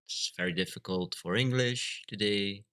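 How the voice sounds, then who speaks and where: A Flemish man from Belgium whose first language is Dutch, chatting casually on a live stream, halting and full of fillers. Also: lowish voice, pushed and bright, smooth. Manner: halting, lots of fillers and restarts. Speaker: livestreamer.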